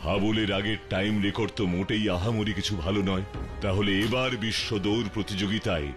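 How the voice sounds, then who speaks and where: A man voicing a strong, steady superhero detective in a cartoon, calm and firm. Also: lowish voice, fast talker, close to an everyday voice. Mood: puzzled, determined. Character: strong heroic male detective, steady, reassuring mentor. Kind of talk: cartoon voice acting. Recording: loud music behind the voice.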